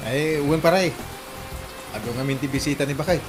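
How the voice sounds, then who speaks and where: A man's voice: fairly high pitch, normal speed, lightly acted. Man acting a fretful Ilocano husband, music behind. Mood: chatting, concerned. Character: village husband fretting over party guests. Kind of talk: comedy drama acting.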